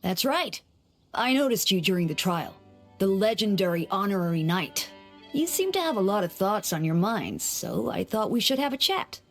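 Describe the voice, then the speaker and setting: A woman's voice: medium pitch, very animated, moderate pace. A woman voicing a breezy young male church deacon in a video game, playful and self-assured. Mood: playful, confident. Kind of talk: video game character acting. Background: faint background music.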